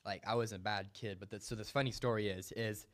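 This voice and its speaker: A man's voice: on the low side, steady tone, brisk. A young man hosting a casual comedy chat, laughing often and rambling with many fillers. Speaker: host.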